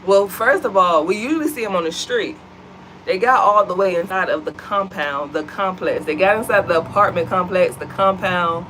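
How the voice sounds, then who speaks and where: A woman's voice: on the low side, normal speed, a bit bright, slight background noise, poor audio. An American woman co-hosting a home vlog, chatting animatedly and addressing the viewers as y'all. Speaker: vlog co-host. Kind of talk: casual chat to viewers. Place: at home, seated.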